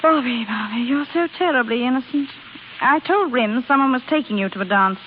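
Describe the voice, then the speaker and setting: An actress playing a meddling, gossipy married sister in a vintage radio drama, gushing, insincere and bossy. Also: female voice, on the high side, a little fast, highs cut off, lightly acted. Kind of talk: 1930s-40s radio drama acting. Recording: thin, band-limited old radio recording, faint hiss.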